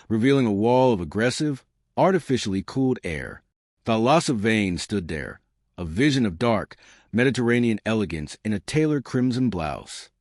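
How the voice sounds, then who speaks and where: A man reading a scripted dark mystery story as a dramatic, deliberate narrator. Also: fairly low pitch, dry recording, clean studio sound.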